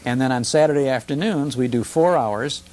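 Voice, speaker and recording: An older man with a gravelly voice, explaining and recounting things conversationally as a broadcaster being interviewed. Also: medium pitch, moderate pace, a little mellow. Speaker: radio show broadcaster, interview guest. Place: television studio.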